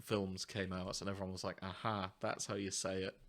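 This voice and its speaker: A man's voice: sits low, level tone, fairly quick, fairly bright tone. A man co-hosting a podcast in casual, jokey banter with friends, often teasing them. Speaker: podcast co-host. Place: indoors, three people in one room.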